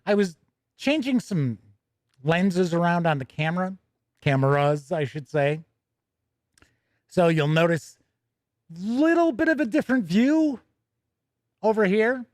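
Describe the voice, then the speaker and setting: A man with a notably high-pitched voice hosts a casual tabletop gaming stream. He talks conversationally, correcting himself and adding small asides as he goes. The sound is very dry and close, with no sense of the room. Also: expressive, unhurried, pauses often, no echo. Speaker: host of a tabletop gaming news show. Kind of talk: casual livestream chat and news reading.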